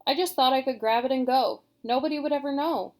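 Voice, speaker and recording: A woman reading aloud, voicing an impulsive young girl who talks quickly and defensively. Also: high voice, darkish tone, natural voice.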